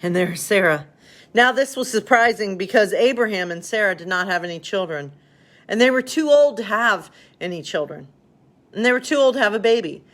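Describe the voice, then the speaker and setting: A woman's voice: mid-range voice, expressive, a little fast, a bit bright. Woman pastor chatting and reading bedtime stories. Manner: informal, rambling, addresses listeners as friends. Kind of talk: casual chat and storybook reading for children.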